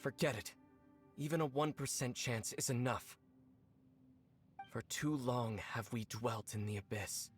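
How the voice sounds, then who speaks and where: Medium male voice, actor as resolute royal leader, solemn, commanding, formal, video game acting, soft music. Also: mid-range voice, unhurried, pauses often, close to an everyday voice. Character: resolute young royal leader of a dark order.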